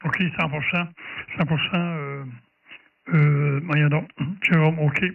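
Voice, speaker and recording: A thin, distant radio-band sound frames a man with a slightly high voice and a Quebec French accent. He chats casually as a ham operator, pausing often and saying things twice. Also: level tone, vocal fry, narrow, tinny audio, heavy background noise.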